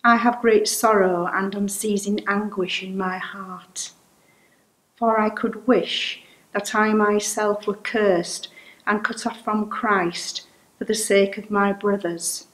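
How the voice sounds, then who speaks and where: An older woman reads a Bible passage for a church service, taking each phrase slowly and carefully. Her voice sits at a middle pitch and comes through a home webcam. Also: flat delivery, low-quality recording. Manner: slow, measured, careful reading. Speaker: lay reader. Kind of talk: reading scripture at a service.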